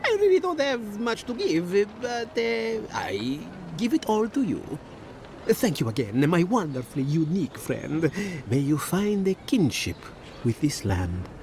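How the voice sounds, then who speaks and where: Casting: flustered, effusive male alchemist for a game, extremely animated pitch, noisy backdrop. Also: measured pace, heavily acted. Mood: sheepish, thankful. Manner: hesitant, effusive, scattered. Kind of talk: video game character acting.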